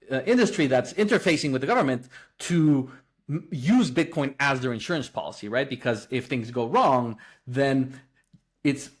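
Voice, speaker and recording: Earnest man from Guatemala explaining. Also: medium pitch. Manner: earnest, explanatory, with frequent fillers and restarts. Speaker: guest, company co-founder. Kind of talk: conversational interview.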